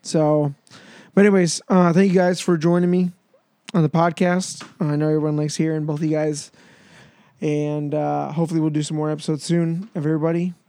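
Twenty-something guy hosting a podcast, easygoing and loose, mellow tone. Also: fairly high pitch, little pitch movement, fairly quick, clear, resonant tone, no room sound. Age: 20s. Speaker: podcast host. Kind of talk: casual podcast chat.

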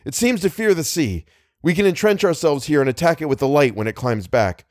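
A man's voice: fairly high pitch, animated, brisk, a little mellow, no echo, clean studio sound, voice pushed up, close to an everyday voice. Man acting out anxious man, strained raised voice. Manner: calling out tensely, voice tight with strain. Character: Algernon, shaken, anxious, cautious man. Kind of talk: pulp horror audiobook dialogue.

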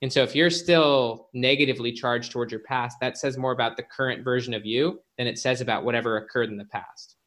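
Male interview guest, psychologist type. Bright, punchy tone, casual phrasing, peppered with "like" and "you know". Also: medium pitch, fairly even intonation, fairly quick, hardly any pauses. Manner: informal, filler-heavy with frequent "like" and "you know". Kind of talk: conversational interview explanation with anecdotes.

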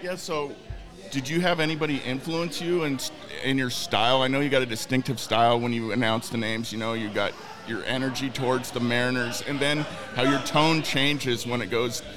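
A man's voice: mid-range voice, fairly even intonation, moderate pace, music in the background. Eager male fan co-host. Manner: eager fan asking questions. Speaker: podcast co-host. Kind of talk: casual interview chat.

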